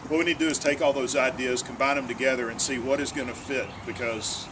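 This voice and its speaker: A man's voice: mid-range voice, fairly even intonation, fast, background noise. A man, a state senator giving an earnest town hall speech and answering questions, often repeating words as he restarts.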